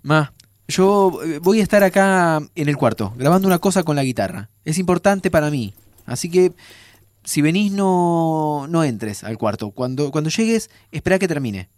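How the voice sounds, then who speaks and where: An actor with an Argentine accent playing an anxious, guilt-ridden young time traveler, agitated and hesitant, in naturalistic audio drama. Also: male voice, on the high side, expressive, moderate pace, dry recording, close to an everyday voice. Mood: apologetic, evasive.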